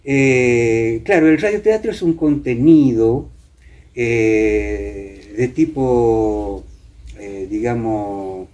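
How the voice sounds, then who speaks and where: A man's voice: mid-range voice, drawn-out words, warm tone. An Argentine man, middle-aged or older, explaining and reminiscing in an interview with hesitant phrasing and frequent tag questions. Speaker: interviewee, former radio actor.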